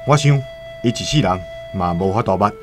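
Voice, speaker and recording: A male actor plays a shrewd, self-serving trader in a radio drama, telling his side with a calculating air. The voice is low, with a Taiwanese accent and faint music behind it. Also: lively intonation, fairly quick, natural voice. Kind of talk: radio drama dialogue and first-person storytelling.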